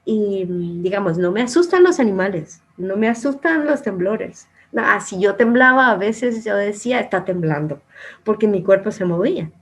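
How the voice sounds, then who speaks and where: Woman, middle-aged, reflective storyteller, interview guest, mid pitch. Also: expressive, moderate pace. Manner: reflective, with soft laughs and some trailing quiet phrases. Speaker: interview guest, former producer and journalist.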